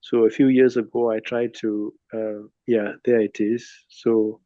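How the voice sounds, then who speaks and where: A man in his fifties with a low, mellow voice gives an informal online talk. His delivery is halting and fairly flat, with frequent rephrasing. The sound is dry and slightly dull, like a phone on a call. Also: no room sound. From Sri Lanka, later Nigeria. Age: 50s. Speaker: speaker at a cultural talk. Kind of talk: informal online presentation with slides.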